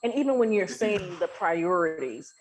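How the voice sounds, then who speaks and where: A woman's voice: fairly low pitch, lively intonation, moderate pace, darkish tone, a little hiss or hum. A woman hosting a casual interview podcast, talking in an affirming, explanatory way. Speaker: podcast host.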